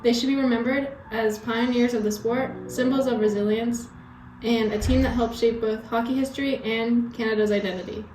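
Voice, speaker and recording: A young woman playing an earnest male 1920s hockey coach, reading scripted answers in a solemn, deliberate way. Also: fairly high pitch, fairly even intonation, a little mellow, slight background noise, lightly acted. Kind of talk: scripted mock interview answers. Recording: echoey room, music behind.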